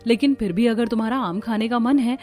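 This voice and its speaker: A woman narrating and voicing characters in a cartoon story, expressive and conversational. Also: fairly high pitch, brisk, faint music behind. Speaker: narrator and voice actor.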